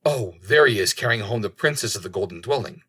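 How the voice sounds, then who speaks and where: Man playing a husky, foreboding storybook raven. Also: fairly low pitch, lively intonation, brisk, bright, forceful tone, full character voice. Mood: ominous. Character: knowing raven foretelling doom to a companion.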